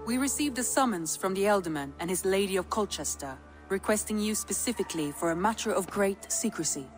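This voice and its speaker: A caring Viking clanswoman, played by a woman speaks at a middling pitch. She is composed, gentle and earnest, a measured adviser in game dialogue, with loud music behind her. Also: lively intonation, close to an everyday voice. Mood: businesslike. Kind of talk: video game dialogue acting.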